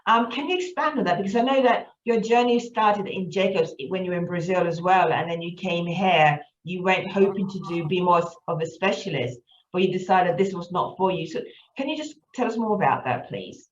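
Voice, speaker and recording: A woman with a slightly low voice hosts a podcast interview. She is formal and welcoming, halting as she repeats and rephrases her words. The sound is roomy and a little muffled. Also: expressive, a little hiss or hum.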